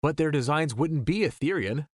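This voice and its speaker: A man's voice: fairly high pitch, animated, normal speed, no room sound, clean studio sound. A man playing an earnest, plain-spoken, easily frustrated young hero in a space audio drama. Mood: questioning.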